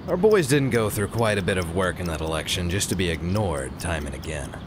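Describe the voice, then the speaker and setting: A man's voice: deep voice, expressive, brisk. A man acting in a radio play as a weary, reluctant gang member, speaking hesitantly and conversationally. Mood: reluctant, grave. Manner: hesitant, apologetic, throat-clearing, conversational. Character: weary, reluctant crime-gang family man giving orders. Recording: clear ambient noise, ticking clock.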